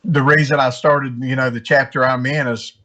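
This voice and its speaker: A man from West Virginia talking casually as an interview guest, halting and often repeating his words. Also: medium pitch, fairly even intonation, bright, forceful tone. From West Virginia, United States. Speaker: interview guest, amateur tournament angler.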